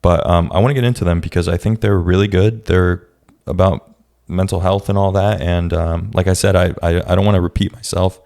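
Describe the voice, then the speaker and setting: A man hosting a casual solo podcast, his voice a bit raspy today, rambling and often restarting his sentences. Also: sits low, fairly even intonation, a little fast, darkish tone. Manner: rambling, restarts often, speaks in scattered bursts. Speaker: podcast host. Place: room at home with a street-facing window.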